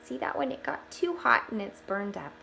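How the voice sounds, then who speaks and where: A woman reading a picture book aloud to children, expressively, pausing for explanatory asides. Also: a little high, gravelly, a little hiss or hum. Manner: expressive, with hushed asides pointing things out.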